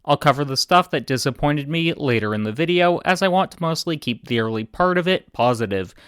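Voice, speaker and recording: Male game commentator, deadpan read, resonant and dry. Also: fairly high pitch, brisk, clean tone, no room sound. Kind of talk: scripted video commentary.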